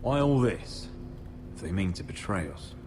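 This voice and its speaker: Wary, resolute young lord, echoing. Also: male voice, low-pitched, very animated, moderate pace. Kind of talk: dramatic video game acting. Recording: reverberant hall under clear music. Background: background music.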